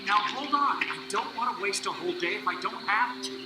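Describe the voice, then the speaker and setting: An actor playing an impatient character in a jungle adventure audio drama. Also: male voice, high-pitched, lively intonation, a little fast, heavy background noise. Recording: echoey, distant playback over loud music.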